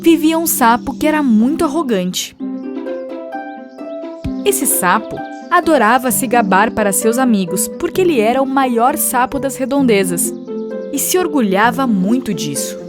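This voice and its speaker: High-voiced woman narrating a children's fable. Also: lively intonation, measured pace. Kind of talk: scripted children's storybook narration. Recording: music behind the voice. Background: music.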